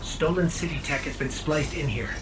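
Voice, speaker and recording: A man's voice: on the high side, moderate pace, close to an everyday voice, poor audio. A man voicing a small, earnest, helpful robot companion in a video game, precise and encouraging. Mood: focused. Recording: loud music behind.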